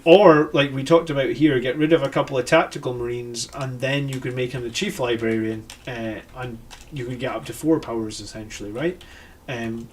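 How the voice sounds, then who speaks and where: A Scottish man hosting a live stream, thinking aloud about army lists with many fillers and self-corrections. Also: mid-range voice. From Scotland. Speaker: host reviewing army lists. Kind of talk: live-stream casual commentary.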